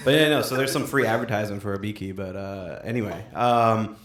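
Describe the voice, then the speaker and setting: A man's voice: fairly low pitch, brisk, a bit bright, echoey room. A young man hosting a casual podcast chat, rambling loosely with lots of fillers. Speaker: podcast host. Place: room recorded on video.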